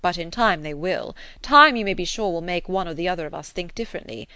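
This is a serious, kindly gentleman from a classic novel audiobook, as a woman narrator reads him. He speaks in a measured, earnest way with gentle teasing underneath. The sound is close and dry. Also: fairly low pitch, smooth, no room sound. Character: grave, kindly gentleman, older friend. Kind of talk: audiobook character reading.

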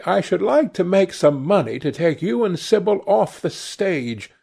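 A man voicing a rough, blunt young lad in a storybook, good-natured but stern and grumbling. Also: high voice, sing-song, unhurried, mellow, pitched above the performer's own voice, exaggerated voice. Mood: blunt, muttering.

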